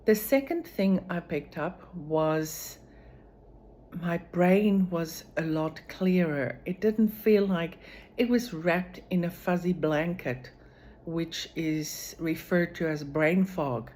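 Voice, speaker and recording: Low-voiced, unhurried woman. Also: darkish tone. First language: Afrikaans. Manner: slow, measured, with frequent pauses and fillers. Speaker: vlogger sharing her recovery. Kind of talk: personal video diary.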